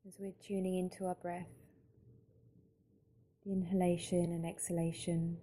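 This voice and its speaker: A woman guiding a mindfulness meditation in a calm, gentle, unhurried manner with long pauses between phrases. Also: on the low side. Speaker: meditation guide. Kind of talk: slow guided mindfulness meditation narration.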